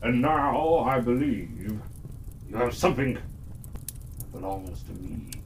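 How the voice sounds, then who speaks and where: A storyteller voicing a kindly old male wizard in a children's fairytale, calm and diplomatic, speaking in hesitant phrases. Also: medium pitch, recorded from a distance, slight background noise, voice pushed down. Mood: expectant. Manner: halting, hesitant, with drawn-out pauses.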